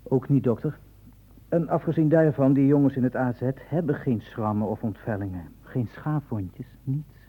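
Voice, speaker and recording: A man's voice: medium pitch, moderate pace, breathy edge, close to an everyday voice. Actor as tinny-sounding, casual male assistant. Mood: matter-of-fact. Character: casual, dismissive male medical assistant reporting to superior. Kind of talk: radio drama acting. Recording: tinny, phone-like narrow sound.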